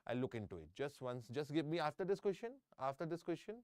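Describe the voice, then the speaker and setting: Indian english tutor guy, fairly high voice, very dry close mic, keeps asking if everyone's following. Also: animated, fast, a little mellow, dry recording. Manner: checks in with students often, repeats phrases. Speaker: engineering exam tutor. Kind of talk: live online lecture. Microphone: close microphone.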